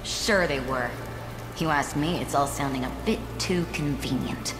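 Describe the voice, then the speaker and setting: An actress playing a tough, distrustful captain of fighters in a video game, blunt and sardonic. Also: female voice, sits low, unhurried. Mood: scornful, suspicious. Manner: blunt, sardonic, hard-bitten. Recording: roomy echo, music behind.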